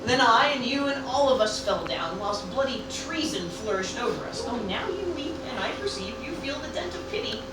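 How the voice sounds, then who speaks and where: A woman's voice: fairly high pitch, expressive, normal speed, hardly any pauses, natural voice. A woman playing an impassioned funeral orator in a declamatory amateur Shakespearean radio play. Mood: mournful. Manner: declamatory, theatrical. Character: impassioned funeral orator stirring a mourning crowd. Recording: very echoey, distant, noisy live room.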